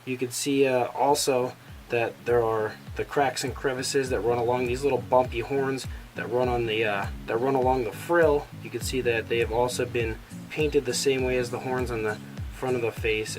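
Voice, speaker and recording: Guy with a cold doing a toy review, bit raspy, keeps saying uh, excited about it. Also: mid-range voice. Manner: enthusiastic, many "uh" fillers. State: sick with a cold, slightly raspy. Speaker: toy reviewer, channel host. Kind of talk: casual product review.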